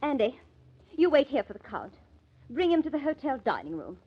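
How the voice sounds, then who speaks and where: An actress playing a haughty, glamorous movie star in a radio drama, commanding and theatrical. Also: female voice, sits high, very animated, fairly quick, husky, heavily acted. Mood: imperious, agitated. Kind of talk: 1950s radio drama acting. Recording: noisy, dull vintage radio recording.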